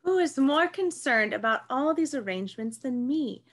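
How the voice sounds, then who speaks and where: A woman's voice: high-pitched, expressive, normal speed. A young woman acting a fussing mother who plans her daughter's lavish wedding, in a comedy read over video call. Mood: fussy, proud. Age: young adult. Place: small room at home, indoors. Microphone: video call microphone.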